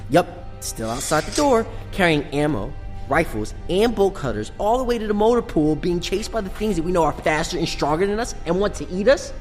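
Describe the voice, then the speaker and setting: Wisecracking zombie survivor in reverberant space. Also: male voice, sits high, animated, fairly quick. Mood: sardonic disbelief. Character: wary, wisecracking male survivor of a zombie outbreak. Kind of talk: audio drama acting. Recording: reverberant hall, music underneath.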